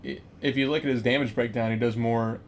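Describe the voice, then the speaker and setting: A man's voice: mid-range voice, fairly even intonation, a little fast. A man hosting a casual group quiz chat, talking in a hesitant, explanatory way. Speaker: podcast quiz host. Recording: clear background noise.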